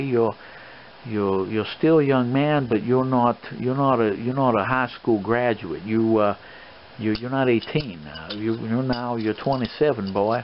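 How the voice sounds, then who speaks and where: A man playing a stern, fatherly old colonel in an improvised role play, scolding a young man in a halting, rambling way. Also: mid-range voice. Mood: gentle lecturing. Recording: dull lo-fi recording with clear background noise.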